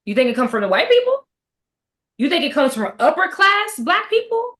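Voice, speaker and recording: A woman's voice: sits high, sing-song, moderate pace, fairly bright tone. Texan woman, casual book chat, emphatic, lots of rhetorical questions. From Texas. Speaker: book discussion guest.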